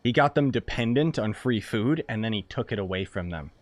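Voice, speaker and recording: A man's voice: lowish voice, animated, a little fast, no echo. A man giving casual gameplay commentary as a streamer, slangy, flippant and irreverent. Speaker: game streamer.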